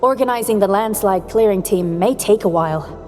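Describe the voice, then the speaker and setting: A woman's voice: mid-range voice, normal speed, darkish tone, close to an everyday voice. Woman playing stern businesslike female director, game cutscene, formal, matter-of-fact, long reverb, music. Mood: businesslike, concerned. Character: serious, businesslike female director, brisk and authoritative. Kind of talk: video game character acting.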